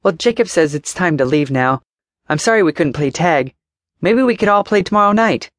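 A man's voice: a little high, expressive, normal speed, a bit bright, no echo, studio quality, pitched above the performer's own voice. A man voicing an eerily cheerful little boy with an imaginary friend, earnest and polite. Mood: chirpy.

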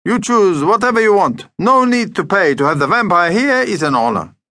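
A man's voice: high voice, big pitch swings, normal speed, pushed and bright, dry recording, clean studio sound, pitched above the performer's own voice, exaggerated voice. A man voicing a large, proud Greek shopkeeper in a storybook, effusive and hospitable, speaking in emphatic short sentences. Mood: honored, eager.

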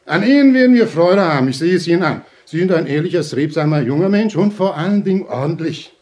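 A man's voice: on the high side, animated, a little mellow, natural voice. An actor playing an unctuous, domineering fatherly head of a halfway house, preachy and pompous, in a German audio drama. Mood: reproachful, pious. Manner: preachy, pompous, drawn-out emphatic phrasing.

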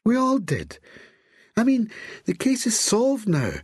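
Man playing a high-voiced posh Glaswegian lady doctor. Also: wildly swooping pitch, slow, darkish tone, slightly rough, no room sound, clean studio sound, exaggerated voice. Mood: playful scolding. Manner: crooning, playful. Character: teasing, posh, seductive Glaswegian woman doctor.

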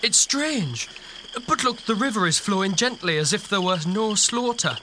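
A man's voice: high-pitched, animated, moderate pace, background noise. A man acting an anxious, loyal crusader comrade in a children's audio drama, earnest and theatrical. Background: music.

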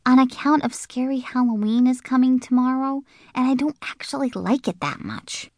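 A woman voicing a cheeky, chatty little schoolgirl in an audiobook, with a chirpy, drawn-out delivery. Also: high voice, no room sound, clean studio sound, pitched above the performer's own voice. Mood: worried. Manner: sassy, chirpy, drawn-out words. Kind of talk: audiobook character reading.

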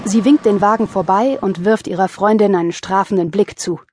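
A woman's voice: medium pitch, fairly quick, darkish tone, slight background noise. A woman narrating an audio drama in a scripted storytelling style.

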